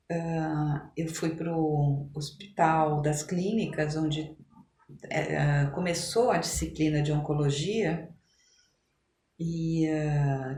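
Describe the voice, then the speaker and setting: A middle-aged woman talks conversationally as an interview guest, explaining things with pauses to search for words. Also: low voice, warm tone. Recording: echoey room, clear background noise.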